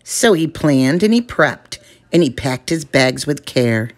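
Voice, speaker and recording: A woman reading a children's picture book aloud, giving the rhymes a measured, expressive delivery. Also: deep voice. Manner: measured, expressive rhyming narration. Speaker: storyteller.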